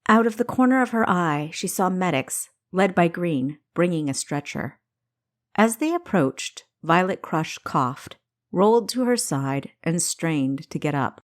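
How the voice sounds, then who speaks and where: A woman with a slightly low voice narrates an action-driven audiobook. She reads in a dramatic, deliberate manner, enunciating each phrase with care. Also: lively intonation. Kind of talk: scripted narration of an action story.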